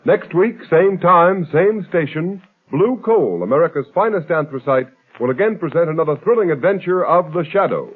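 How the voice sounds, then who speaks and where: A man's voice: on the high side, animated, normal speed, few pauses, husky. A man announcing from a script in formal, emphatic 1930s radio style, on an old recording. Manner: formal, emphatic showman's delivery. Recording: tinny vintage radio recording.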